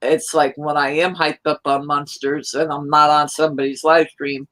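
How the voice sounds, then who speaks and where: A woman from New York chatting casually on a live stream, rambling in a loud, hyper way. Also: sits low, little pitch movement, moderate pace. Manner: rambling, hyper, self-described loud talker.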